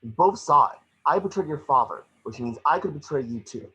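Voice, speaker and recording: A man voicing a small, jaded, guilt-ridden electric mouse detective with a husky voice, in a table read of a film script. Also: on the high side, lively intonation, fairly quick, bright, forceful tone, exaggerated voice. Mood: guilty, insistent. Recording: dull, muffled home microphone.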